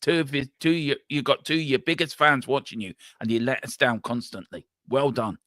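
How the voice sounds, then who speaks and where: A man hosting a live football watchalong, calling the action with lively, joking remarks. His voice is bright and sharp. The sound is close and dry. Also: medium pitch, fairly quick, no echo. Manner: lively, jokey match descriptions. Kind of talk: casual play-by-play chat.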